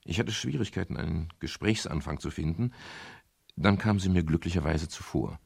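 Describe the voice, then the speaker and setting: A world-weary private eye with a very low, gravelly voice. He is laconic and sardonic, in the manner of a radio crime drama. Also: moderate pace, a little mellow, heavily acted. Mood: wry, resigned. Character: dry, weary male private detective narrating his case. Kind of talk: 1970s German radio crime drama, acting and first-person narration.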